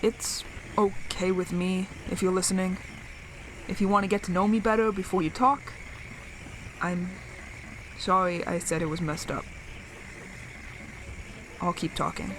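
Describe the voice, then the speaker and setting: An actress playing a lonely, awkward survivor speaking haltingly and earnestly into a radio in an audio drama. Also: female voice, fairly low pitch, fairly even intonation. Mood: hesitant, awkward. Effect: radio transmission. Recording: noisy radio transmission with static.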